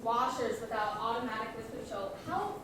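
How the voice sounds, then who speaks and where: A lovestruck, guilt-ridden husband acted by a woman tells his story with theatrical flourish in a student stage comedy. The voice is high and comes from well away from the mic, in a very echoey, noisy hall. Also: brisk, far from the mic, lightly acted. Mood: wry, mournful narration. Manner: theatrical, melodramatic, stammering under accusation. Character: lovestruck, guilt-ridden narrator named Manny, melodramatic husband. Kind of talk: student stage comedy, confessional narration and dialogue. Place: school theater stage, recorded from audience.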